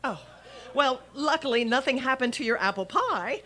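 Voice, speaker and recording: A woman's voice: sits high, lively intonation, moderate pace, sharp tone. A woman playing a wry, self-deprecating schoolteacher with dry asides in an old-time radio comedy. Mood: flustered, polite.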